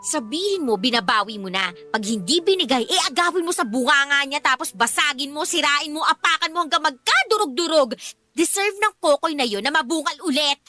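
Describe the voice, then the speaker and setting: Casting: sassy, scolding Filipina best friend for radio drama, high and sharp, emphatic. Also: female voice, lively intonation, a little fast, soft background music. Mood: scheming, vindictive. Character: outspoken, sassy, indignant best friend of a jilted woman.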